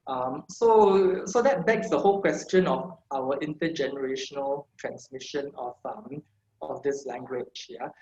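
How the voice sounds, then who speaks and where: A man's voice: mid-range voice, measured pace, a little mellow. A man from Singapore presenting an online talk, chatty with lots of fillers and tag questions, heard over a video call. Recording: echoey room, dull lo-fi video call audio.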